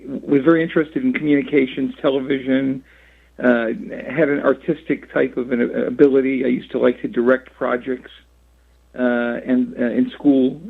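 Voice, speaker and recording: A man in his sixties from Rhode Island, a radio interview guest who talks in a halting way with many fillers and restarts, heard over a phone line. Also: mid-range voice, vocal fry, slightly rough. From Rhode Island, USA. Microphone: phone call.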